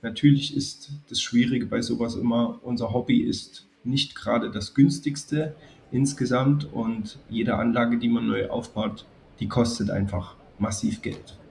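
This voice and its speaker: Gravelly-voiced man with a cold. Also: medium pitch, unhurried. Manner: calm, chatty, addresses viewers directly. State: has a cold. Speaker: model railway YouTuber. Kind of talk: casual spoken video narration.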